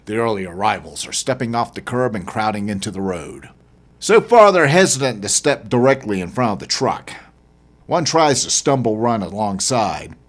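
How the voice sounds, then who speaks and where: Guy reading his own novel as an audiobook, kinda low voice, really animated and dramatic. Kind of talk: dramatic first-person audiobook narration.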